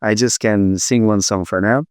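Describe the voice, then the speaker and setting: A man from Nepal answers interview questions in a relaxed, easygoing way, sometimes pausing on fillers. His voice is fairly low, smooth and resonant, and it is captured dry and close. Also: no room sound. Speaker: music producer, interview guest.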